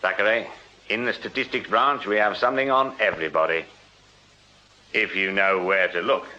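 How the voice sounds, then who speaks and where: An English actor playing a dry, dogged Victorian detective sergeant in a radio drama, sardonic and authoritative. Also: male voice, fairly low pitch, moderate pace, pushed and bright. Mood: measured, shrewd. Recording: muffled radio drama, noisy crowd behind.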